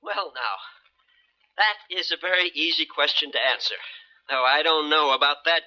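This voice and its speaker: An actor with an American accent playing a brash, slippery smuggler in a 1970s radio drama, protesting glibly with stammers. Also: male voice, fairly high pitch, normal speed, sharp tone. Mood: smooth bluffing. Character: brash, slippery American boat-owning smuggler, indignant under pressure. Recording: muffled old radio broadcast recording.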